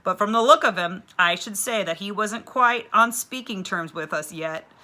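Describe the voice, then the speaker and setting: A woman reading aloud and voicing a hearty, cheerful, stout woman schoolteacher who talks in booming exclamations. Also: normal speed, bright, forceful tone, natural voice. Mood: warm.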